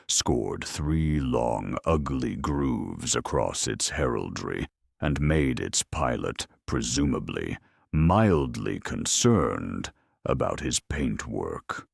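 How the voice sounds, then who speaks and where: Male story narrator: rumbling bass, husky, unhurried, swinging widely in pitch, grimly wry. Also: lively intonation, no room sound, clean studio sound. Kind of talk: dramatic scripted narration.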